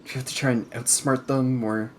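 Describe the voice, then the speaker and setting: A man's voice: medium pitch, flat delivery, moderate pace, background noise. A man playing a tabletop roleplaying game, improvising his character's words and actions in casual talk.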